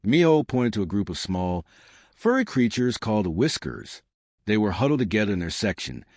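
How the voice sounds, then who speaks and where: A man narrates a science fiction story in a low voice. His pitch moves in lively swings through the scripted prose. The sound is dry and close, with no room around it. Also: dry recording.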